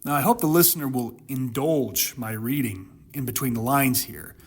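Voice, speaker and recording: A man giving dramatic commentary on scripture as a host, with emphatic, deliberate phrasing. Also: medium pitch, husky.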